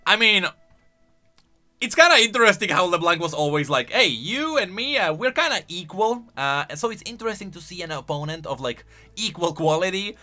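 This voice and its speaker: A man's voice: high-pitched, lively intonation, a little fast, pushed and bright, faint music behind. A man giving casual, semi-improvised video commentary, thinking aloud with emphatic delivery. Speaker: YouTube lore commentator.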